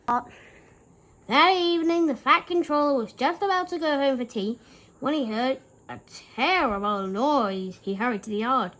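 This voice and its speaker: A woman with a high voice reads a children's story aloud at a slow pace. She reads haltingly, sometimes tripping on a word and starting it again. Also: fairly bright tone, low-quality recording. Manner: halting, stumbles and repeats words while reading.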